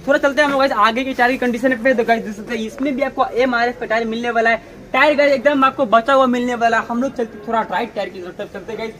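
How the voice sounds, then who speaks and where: High-voiced young man touring used cars. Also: fairly even intonation, fast, pushed and bright, rough recording. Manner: repetitive filler-heavy presenting, constant "guys". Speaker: car showroom video host. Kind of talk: walkaround car tour, addressing viewers. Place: outdoor used car lot.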